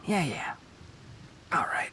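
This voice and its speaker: A man playing a wounded, weary young man in a video game, breathy and sighing, dry but grudgingly kind. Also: takes it slowly, in a whisper, close to an everyday voice. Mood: weakly grateful. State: weak from injury, whispery.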